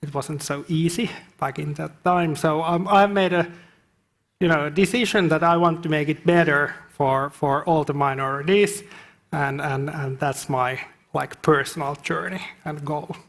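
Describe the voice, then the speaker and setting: A man's voice: fairly high pitch, normal speed, a bit bright. A man introducing himself on a panel, hesitant, with many restarts. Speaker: business leader, panelist.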